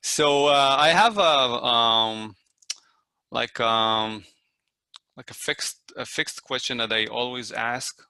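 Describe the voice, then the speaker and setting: Bright-voiced Israeli-born podcast host. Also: male voice, medium pitch, slow pace, clear, resonant tone, no echo. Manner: friendly, hesitant with many fillers. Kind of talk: casual interview.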